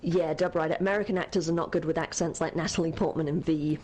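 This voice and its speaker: A woman's voice: low voice, steady tone, fast, a little mellow, slight background noise. A young woman co-hosting a game stream, chatting casually and reading out viewer messages with lots of laughs and fillers. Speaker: streamer co-host. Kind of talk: casual let's-play chat, reading chat messages.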